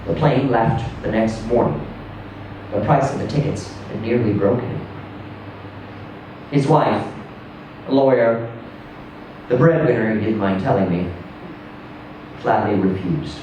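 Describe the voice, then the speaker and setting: A man reading a first-person short story aloud in a conversational yet theatrical way, with deliberate pauses. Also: on the low side. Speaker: reader performing a short story. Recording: roomy, echoing, distant mic with faint noise.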